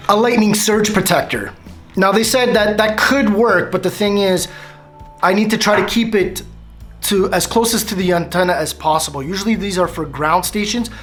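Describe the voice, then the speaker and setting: A man in his thirties casually explaining a truck radio install to camera, rambling with restarts and small laughs. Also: high voice. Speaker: vlogger. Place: dim room at home, bike behind. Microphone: camera close up, facing it.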